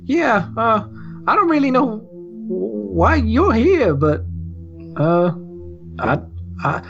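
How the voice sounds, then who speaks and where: A man's voice: sits high, big pitch swings, drawn-out words, gravelly, fairly bright tone, lo-fi sound, faint music behind, voice pushed up, exaggerated voice. A man voicing a flustered, hesitant townsman who stammers awkwardly in a tabletop game. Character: awkward, flustered townsman eager to get away.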